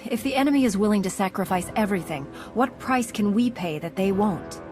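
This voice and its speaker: An actress playing a confident, skeptical Jedi heroine in a video game, direct and questioning. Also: female voice, mid-range voice, expressive, brisk, music in the background, close to an everyday voice. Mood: skeptical, resolute. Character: confident, skeptical young female Jedi hero. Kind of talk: dramatic video game voice acting.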